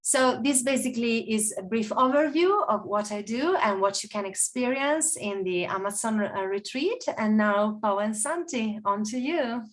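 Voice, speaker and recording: A woman presenting a webinar as a nature coach, talking hesitantly with many pauses and fillers over a video call. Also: a little high, expressive. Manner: hesitant, many fillers, non-native phrasing.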